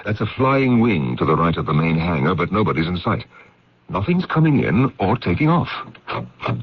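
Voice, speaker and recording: Serious investigator-narrator; low, creaky, deliberate. Vintage radio play, muffled sound. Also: male voice, sing-song, exaggerated voice. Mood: tense, puzzled. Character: sober male investigator narrating his own case, earnest and considerate. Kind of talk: old-time radio drama narration and dialogue. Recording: dull old radio recording.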